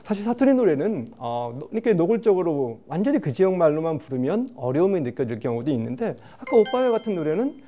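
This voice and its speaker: Lively, fast-talking male lecturer. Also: a little high. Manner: animated, asks the audience questions. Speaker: lecturer on dialects. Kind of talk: lecture to a studio panel.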